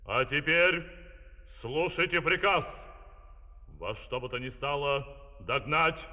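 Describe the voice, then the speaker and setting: Pompous, booming fairy-tale ruler. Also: male voice, slow pace, pushed and bright, full character voice. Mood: commanding. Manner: commanding, pompous, declamatory. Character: imperious, excitable male ruler giving orders in comic fairy-tale opera. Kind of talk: theatrical Soviet fairy-tale audio opera acting. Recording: distant, long hall reverb, vinyl transfer.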